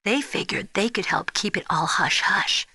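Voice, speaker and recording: An actress playing a spirited, earnest young rookie soldier in a video game, speaking in a frank, expressive way. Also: female voice, mid-range voice, moderate pace, husky, no room sound, in a whisper, full character voice. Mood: confiding, frank. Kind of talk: dramatic video game voice acting.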